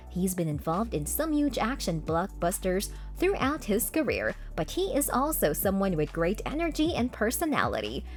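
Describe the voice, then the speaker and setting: Lively woman narrator over faint music. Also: on the high side. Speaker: narrator of a list video. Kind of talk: scripted narration. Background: background music.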